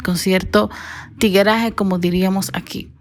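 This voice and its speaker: A woman's voice: medium pitch, steady tone, moderate pace. A woman from the Dominican Republic hosting her own podcast, talking alone to her listeners in careful, formal speech shaped by training in broadcasting. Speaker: podcast host. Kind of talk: solo podcast monologue.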